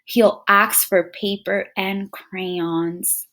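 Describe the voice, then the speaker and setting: A woman teacher reading a picture book aloud to young children, expressively, pausing to muse and put questions straight to her listeners. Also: medium pitch. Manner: expressive, addresses children directly with frequent questions and musing hums.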